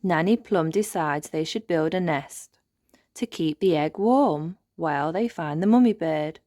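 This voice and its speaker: A woman narrating a children's storybook aloud in an expressive read-aloud style. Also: deep voice, unhurried, no room sound, studio quality.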